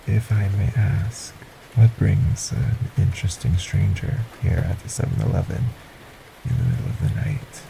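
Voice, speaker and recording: A man voicing a polite, sinister male stranger in an audio roleplay, speaking formally and courteously with a soft, breathy menace. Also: deep voice, fairly even intonation, normal speed, noisy background. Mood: smooth, courteous.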